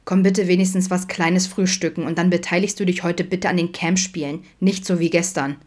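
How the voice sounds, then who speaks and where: A woman's voice: on the low side, fairly even intonation, a little fast, a little mellow, close to an everyday voice. A woman voicing a tired, patient but exasperated father in an audiobook, coaxing and admonishing.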